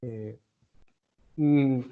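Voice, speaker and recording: A man's voice: medium pitch, extremely slow, narrow, tinny audio. A Costa Rican man teaching a class, explaining ideas with everyday examples and often checking in with his students. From Costa Rica. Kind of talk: online tutoring lesson.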